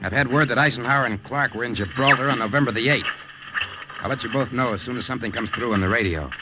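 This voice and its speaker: An actor playing a brisk intelligence contact in an old radio drama. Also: male voice, mid-range voice, natural voice, poor audio. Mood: expectant. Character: brisk male intelligence contact awaiting invasion news. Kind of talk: 1940s-50s radio drama acting. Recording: old radio recording, loud music.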